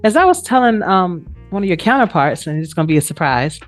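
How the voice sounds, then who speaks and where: A woman's voice: on the low side, sing-song, a little fast, faint music behind. Lady hosting a podcast, chatty interview, voice moves up and down a lot. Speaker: podcast host. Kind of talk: casual interview chat.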